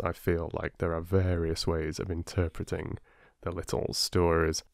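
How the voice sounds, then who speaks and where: A man working as a storyteller and host, speaking with measured, deliberate phrasing as if reading to listeners. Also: low-pitched, darkish tone, clean tone, dry recording, clean studio sound. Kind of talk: expressive storybook narration and listener address.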